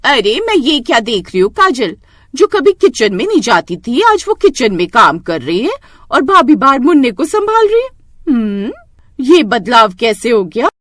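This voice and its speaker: A woman's voice: fairly high pitch, big pitch swings, moderate pace, fairly bright tone, no room sound, studio quality, pitched above the performer's own voice. A woman voicing a nosy, meddling middle-aged neighbour in a cartoon story, insinuating and exclaiming. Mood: prying surprise.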